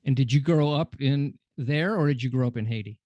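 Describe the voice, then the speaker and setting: A man hosting a podcast, introducing the show and guest in a relaxed way, then asking questions. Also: mid-range voice, brisk, no echo, lo-fi sound. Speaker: podcast host.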